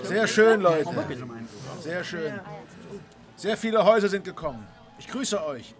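A man's voice: high voice, animated, measured pace, over music. An actor playing a charismatic squatters' leader in a radio play, grandiose and declamatory, repeating his phrases as he chairs a meeting. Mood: pleased, solemn. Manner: declamatory, pompous, repeats himself. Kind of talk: German radio play acting.

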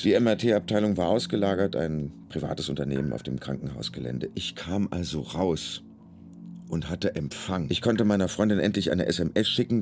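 A man's voice: low-pitched, darkish tone, slight background noise. A man playing an anxious, wry hospital patient, telling his story in a dry, musing first-person radio play narration. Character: anxious, wry, hungry male emergency-room patient.

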